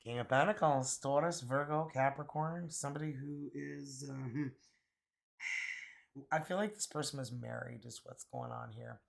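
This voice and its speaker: A man from Canada with a raspy, hoarse voice, still getting over being sick. He talks his way through a tarot reading in a loose, musing manner, full of fillers. His mid-pitched voice has a gravelly fry to it. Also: fairly even intonation, a little slow. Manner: chatty, musing, many fillers. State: hoarse, recovering from illness. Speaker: tarot reader. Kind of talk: casual tarot card reading.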